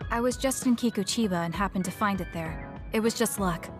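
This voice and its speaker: A woman's voice: mid-range voice, fairly quick, over music, close to an everyday voice. An actress playing a cool, blunt, guarded young female military recruit in a video game. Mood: polite, reserved. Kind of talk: English video game dub acting.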